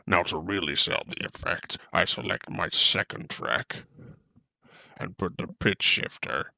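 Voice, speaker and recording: A menacing space-western gunslinger villain. The voice is low and heavily gravelled, with a slow, deliberate drawl, as if from a film, calmly giving step-by-step instructions. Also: male voice, no echo, heavily acted. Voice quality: gravelly, creaky. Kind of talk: tutorial narration in character.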